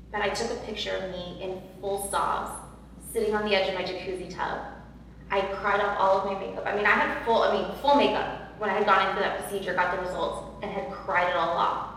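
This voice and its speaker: Loud, earnest woman in echoey hall. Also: mid-range voice. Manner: earnest, emotional, many fillers and repeats. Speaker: podcast guest. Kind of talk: personal storytelling in an interview. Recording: echoey hall from far off, faint noise.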